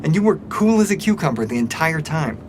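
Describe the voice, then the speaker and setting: A man's voice: a little high, big pitch swings, fairly quick, a little mellow, a little hiss or hum. A man playing an eager rookie police officer in an audio drama, speaking in an earnest, awestruck way. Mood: admiring. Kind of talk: scripted audio drama acting.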